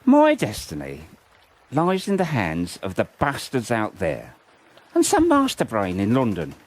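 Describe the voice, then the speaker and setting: A man's voice: medium pitch, wildly swooping pitch, unhurried. A man acting a cynical, fatalistic First World War private in a radio drama, with a blunt, colloquial manner. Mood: bitter, sardonic. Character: cynical, fatalistic WW1 private soldier in the trenches.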